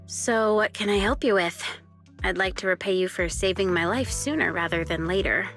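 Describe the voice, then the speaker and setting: A woman's voice: medium pitch, moderate pace, a bit bright, natural voice. A woman voicing a confident, friendly female tech expert in a video game, casual and wry, like an old comrade. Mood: warm, relaxed. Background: music.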